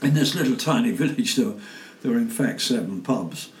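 A man's voice: mid-range voice, expressive, normal speed. Cheeky man in his nineties. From England. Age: 90s. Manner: chuckling, cheeky storyteller. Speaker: guest. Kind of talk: casual chat. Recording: echoey kitchen with faint noise.

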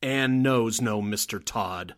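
A man's voice: on the low side, takes it slowly, fairly bright tone. An actor playing a sly, suspicious Nazi henchman, mocking and pointed, in 1940s radio drama style.

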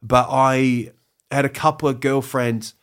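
A man's voice: mid-range voice, steady tone, fairly bright tone. Self-mocking English comedian in his fifties. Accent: English with a bit of New Zealand. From England. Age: 50s. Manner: rambling, halting restarts, jokey asides with put-on voices. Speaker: comedian, interview guest. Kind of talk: candid, self-mocking interview chat.

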